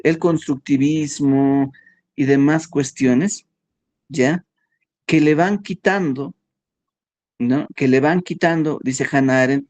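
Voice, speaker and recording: A man giving a philosophy workshop lecture, talking in a halting way and checking in with his listeners all the time. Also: medium pitch, darkish tone. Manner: slow, halting, with frequent "¿no?" and "¿ya?" checks.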